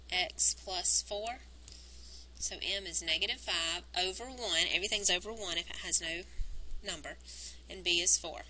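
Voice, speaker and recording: A woman teacher recording a math lesson on a wired mic, explaining each step and counting points aloud, her voice worn and going hoarse. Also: on the low side, sharp tone, slight background noise, low-quality recording. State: losing her voice. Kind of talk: recorded math lesson, working through graphs. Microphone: wired microphone on a cord.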